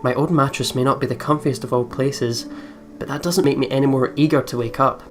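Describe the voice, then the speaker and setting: Let's play host, mid pitch, casual and sweary, stumbles through read-aloud narration, light music bed. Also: fairly even intonation, fairly quick.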